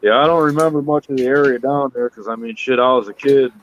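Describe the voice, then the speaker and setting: A man in his thirties reminiscing in a relaxed casual chat. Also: mid-range voice, fast talker, slight background noise, poor audio. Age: 30s.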